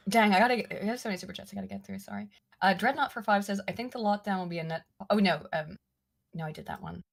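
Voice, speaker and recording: A woman hosting a casual political chat stream, talking in animated, rambling bursts full of restarts and self-corrections. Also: mid-range voice, quick pace, gravelly. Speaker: stream host. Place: lives in the UK.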